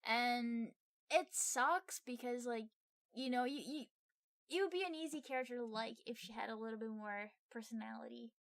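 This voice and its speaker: A woman's voice: a little high, normal speed, vocal fry, fairly bright tone. A woman co-hosting a film podcast, chatting casually as she reacts and adds her opinions. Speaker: podcast co-host. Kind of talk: casual chat, reacting and agreeing.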